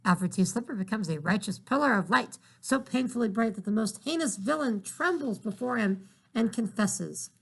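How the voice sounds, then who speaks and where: A man voicing an earnest, excitable young girl who eagerly explains things in a storybook. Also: sits high, normal speed, creaky, husky, heavily acted, low-quality recording.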